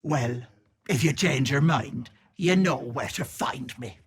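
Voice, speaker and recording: An actress voicing a wicked old hag with a raspy, cackling voice, taunting with sugary pet names. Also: female voice, a bass voice, slow pace. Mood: menacing, teasing. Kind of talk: video game voice acting.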